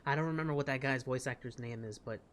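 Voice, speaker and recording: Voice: medium pitch, fast, slight background noise. A game streamer of unclear sex chatting casually through a playthrough, rambling and reacting aloud. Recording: game music behind.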